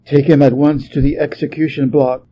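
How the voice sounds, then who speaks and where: A man's voice: fairly high pitch, little pitch movement, normal speed, lo-fi sound, a little hiss or hum, lightly acted. A man voicing an imperious celestial emperor in a storybook, with a formal, commanding manner. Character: stately male celestial emperor, imperious and easily dismayed.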